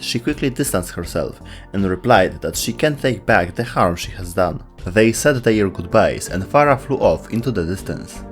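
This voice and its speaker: A man reading scripted narration as the narrator of a video game lore explainer. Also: sits low.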